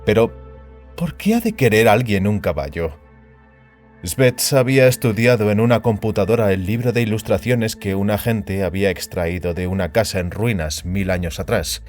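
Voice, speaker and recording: A man's voice: lowish voice, moderate pace, a little mellow, soft background music. A man reading a science fiction story aloud as a scripted, dramatic narration.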